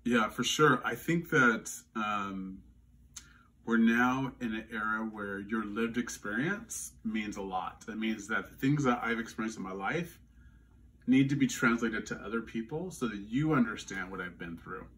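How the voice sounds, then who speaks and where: A man from Louisiana with no regional accent, giving earnest, measured answers in an interview, often restarting his phrases. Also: on the low side, a bit bright, far from the mic.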